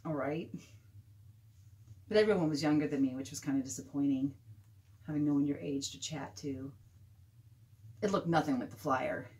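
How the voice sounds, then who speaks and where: A woman's voice: deep voice, a little mellow, close to an everyday voice. A woman playing a streetwise, scornful fifteen-year-old girl in a dramatic monologue, with a blunt, slangy, gossipy delivery. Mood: scornful, unimpressed.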